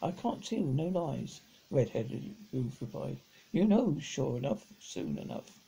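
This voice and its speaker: A middle-aged man reads a children's book aloud in a rough, creaky voice. His pitch swings up and down in a lively way, and the tone is dark and mellow. He reads haltingly, tripping over words and starting them again. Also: low-quality recording. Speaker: amateur storybook reader. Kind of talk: reading a children's novel aloud. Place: indoors at home, seated on a sofa.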